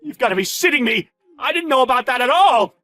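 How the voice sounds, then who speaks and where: Man acting a smug bullying boss, animated and high. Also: normal speed, soft background music, heavily acted. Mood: panicked. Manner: taunting, exclamatory, slangy. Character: smug bullying male boss, gloating and contemptuous. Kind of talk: dramatized story narration with character voices.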